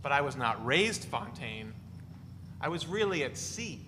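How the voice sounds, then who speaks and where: A man playing a glib, teasing spinner of deadpan tall tales, with conversational salesman's patter, in a dramatic reading. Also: mid-range voice, a little slow. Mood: teasing, insistent. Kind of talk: dramatic reading of a novel before an audience. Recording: noisy, reverberant hall recording.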